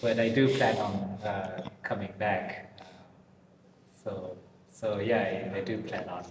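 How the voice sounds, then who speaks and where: Man from Bangladesh, unhurried and halting, noisy background hum, long reverb. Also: on the low side, steady tone. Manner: hesitant, many fillers and restarts. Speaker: guest artist. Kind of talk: casual interview chat. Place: old pre-war building in Poland. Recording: distant mic, long reverb, noisy ambience.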